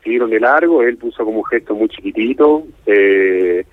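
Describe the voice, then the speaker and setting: Male guest correspondent, Argentine, husky voice, conversational commentary over a dull remote line. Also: lowish voice, animated, normal speed. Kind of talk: casual spoken commentary and anecdotes. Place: remote call into a radio show. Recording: muffled, roomy remote call.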